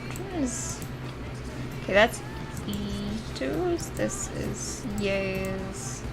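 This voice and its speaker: A woman's voice: medium pitch, animated, very slow, vocal fry, low-quality recording. A woman game streamer chatting casually and swearing often as she plays. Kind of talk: casual chat while streaming a video game. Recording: music in the background. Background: music.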